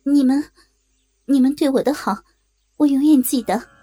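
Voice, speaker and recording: A woman's voice: high-pitched, normal speed, mellow, soft background music, close to an everyday voice. A woman playing a frightened, naive young rural wife with a Northeastern Chinese accent, hesitant and pleading, in an audio drama.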